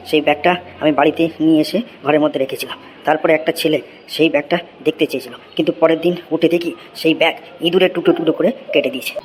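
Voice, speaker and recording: Man playing a rattling, rapid-fire cartoon schoolboy. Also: fairly high pitch, a little mellow, a little hiss or hum, lightly acted. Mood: proud, reciting. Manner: rattling, rote essay recital. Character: eager schoolboy obsessed with rats, reciting essays. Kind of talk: comedy cartoon recitation.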